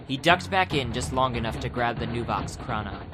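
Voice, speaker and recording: Male narrator for a dramatized adventure audiobook, mid-pitched, with music clearly underneath. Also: animated, brisk.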